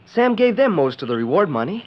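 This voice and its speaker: Earnest, lively boy sidekick. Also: high voice, brisk. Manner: earnest, youthful. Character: earnest, eager boy sidekick in the Old West. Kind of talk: 1940s radio western acting. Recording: lo-fi noisy 1940s radio recording.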